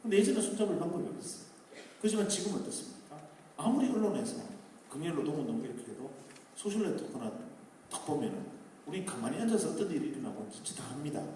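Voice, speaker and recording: A man's voice: on the high side, warm tone, slight background noise. A man lecturing to a live audience at a public talk, asking rhetorical questions and building up emphasis. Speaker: speaker at a public talk concert. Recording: echoey hall, distant mic.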